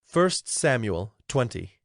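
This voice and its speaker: A man's voice: medium pitch, sing-song, slow, vocal fry, no echo, studio quality. A man narrating scripture from a script as the narrator of a dramatized audio production. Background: music under the voice.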